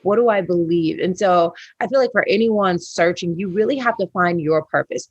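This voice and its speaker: A woman in her late thirties giving conversational, earnest and encouraging interview answers as a wellness coach. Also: medium pitch.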